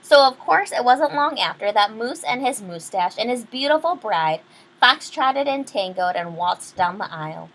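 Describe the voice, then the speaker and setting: A woman reading a children's picture book aloud expressively, pausing to explain things to young listeners. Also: a little high, expressive, a bit bright, low-quality recording. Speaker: read-aloud storyteller.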